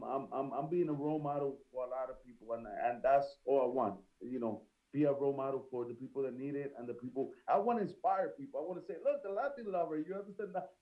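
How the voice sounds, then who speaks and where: A man's voice: medium pitch, narrow, tinny audio. A man from Puerto Rico with a strong Puerto Rican Spanish accent, a boxer talking earnestly in an interview and often checking "you understand?". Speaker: boxer guest. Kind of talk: casual interview chat.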